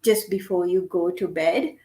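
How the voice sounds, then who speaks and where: A middle-aged woman giving a gentle, earnest guest lecture on meditation, repeating her key points. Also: on the low side, fairly even intonation, unhurried. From Sri Lanka. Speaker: guest lecturer, medical doctor.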